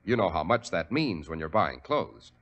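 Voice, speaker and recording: Animated American 1940s radio announcer, man. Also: low voice, moderate pace, dull audio. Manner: polished, emphatic, salesmanlike. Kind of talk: 1940s radio sponsor pitch.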